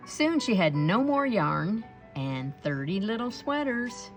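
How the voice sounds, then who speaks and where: Slow, lively woman reading a storybook aloud. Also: mid-range voice, soft background music. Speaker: storybook read-aloud reader. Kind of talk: expressive read-aloud narration for children.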